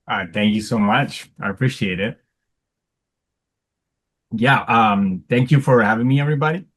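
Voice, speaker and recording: A man's voice: medium pitch, moderate pace, fairly bright tone. A man from Italy with an Italian accent speaks as a guest on a video call, introducing his talk in a hesitant, conversational way.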